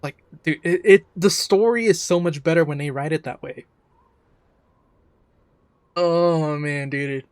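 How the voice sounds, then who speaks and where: A man's voice: on the high side, unhurried, long pauses, dry recording. A man giving casual, emphatic, enthusiastic commentary as a game reaction streamer. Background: faint game music.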